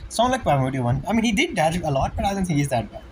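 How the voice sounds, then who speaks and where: A man's voice: a little high, sing-song, rapid-fire, background noise. A man giving casual commentary as he streams a game playthrough.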